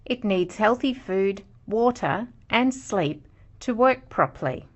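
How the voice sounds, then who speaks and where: Woman, mid-pitched voice, scripted preschool narration, simple instructive phrasing. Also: expressive, slow pace, highs cut off. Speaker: narrator of children's educational material.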